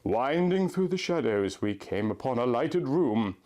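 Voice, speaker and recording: A man's voice: mid-range voice, very animated, measured pace, darkish tone. A man reading a fan story aloud as host, with a mock-dramatic storybook delivery.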